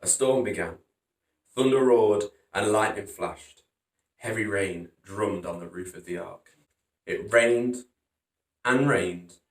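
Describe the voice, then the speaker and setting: A man hosting a storytime, narrating a children's picture book in a dramatic, emphatic way. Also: on the low side. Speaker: storytime host reading picture books. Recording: roomy echo, distant from microphone.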